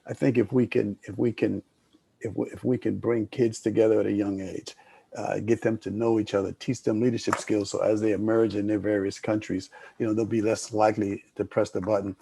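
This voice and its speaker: Gravelly older man, dark husky tone. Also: fairly low pitch, flat delivery, fairly quick. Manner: rambling, with many restarts and fillers. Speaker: moderator. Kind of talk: panel discussion.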